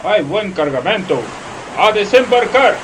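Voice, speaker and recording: A man plays an imperious merchant in a radio play. He calls out urgently in a very high male voice, set back from the mic amid clear noise. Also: lively intonation, unhurried. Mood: commanding. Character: commanding English merchant barking dockside cargo orders. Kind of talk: community radio play acting. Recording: distant mic amid noisy ambience.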